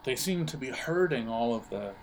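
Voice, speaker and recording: A man narrating an improvised tabletop role-playing game as game master, often halting as he thinks aloud. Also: medium pitch, animated, a little hiss or hum, low-quality recording. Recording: music playing under the voice.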